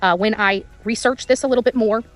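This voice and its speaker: A woman's voice: on the high side, fairly quick. A woman doing unscripted vlog-style reporting outdoors, often repeating her phrases. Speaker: amateur on-site reporter. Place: outdoors in quiet rural area.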